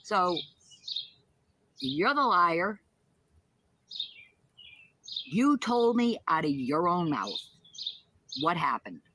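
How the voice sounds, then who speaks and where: Woman, slightly low pitch, blunt, confrontational, casual chat, faint background noise, chirping birds. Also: animated, very slow, pauses often, sharp tone. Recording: noisy, with birdsong chirping behind.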